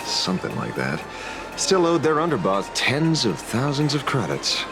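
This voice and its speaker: A man's voice: mid-range voice, wildly swooping pitch, normal speed, music in the background. An actor playing a wry townsman in a crowd, in video game dialogue. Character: low-voiced man in a crowd, wry debtor.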